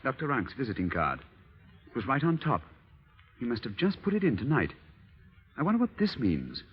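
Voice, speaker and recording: Patronizing, ardent husband, swooping old-radio delivery. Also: male voice, medium pitch, wildly swooping pitch, moderate pace, slightly rough, soft background music. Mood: puzzled, uneasy. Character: adoring, condescending middle-class husband in a period drama. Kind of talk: 1930s radio acting. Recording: muffled old radio drama recording.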